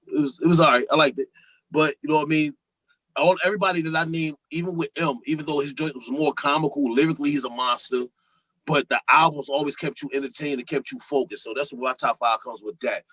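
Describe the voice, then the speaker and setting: A man, a guest rapper on a podcast, talking loosely and excitedly about music in casual chat. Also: a little high, fairly quick, no room sound, low-quality recording. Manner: loose, animated, talks over others.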